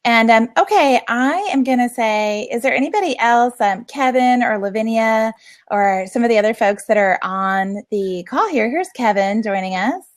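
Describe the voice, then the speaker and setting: A woman's voice: fairly high pitch, moderate pace. A woman casually hosting a live online video meetup, with a chatty, informal way of talking.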